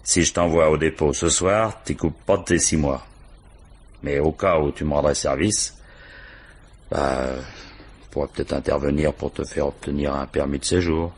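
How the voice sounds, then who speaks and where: A man acting a gruff, sly police commissaire in a radio drama, with a colloquial, pressing way of talking. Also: a bass voice, fairly even intonation, moderate pace, full character voice. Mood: pressing, bargaining. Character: gruff, pushy male police commissaire, world-weary and sly.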